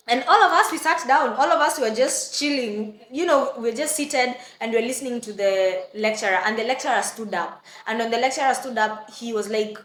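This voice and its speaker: Lively Kenyan woman, bright and echoey. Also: fairly high pitch, brisk, hardly any pauses. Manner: animated, exclaiming, acting out quotes. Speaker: vlogger. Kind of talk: casual storytime.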